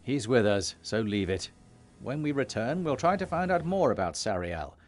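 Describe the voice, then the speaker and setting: An actor playing a commanding, measured and decisive warrior leader in a fantasy video game. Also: male voice, medium pitch, big pitch swings, soft background music, close to an everyday voice.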